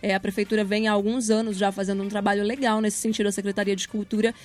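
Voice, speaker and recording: A woman's voice: medium pitch, flat delivery, fast talker, a little hiss or hum. A woman, speaking articulately and informally as a guest in a conversational interview. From Paraná, Brazil. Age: 30s. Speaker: interview guest, radio announcer and journalist.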